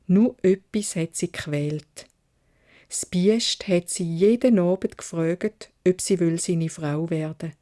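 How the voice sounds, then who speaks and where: A woman with a Swiss German accent narrating a children's fairy tale in a measured, expressive way. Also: lowish voice. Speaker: storyteller.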